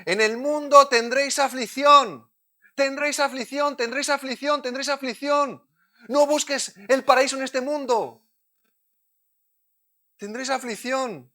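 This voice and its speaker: A man from Madrid with a Madrid Spanish accent, preaching a sermon, moving between loud urgent points and quiet, close asides. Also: very high-pitched, fairly even intonation, measured pace. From Spain.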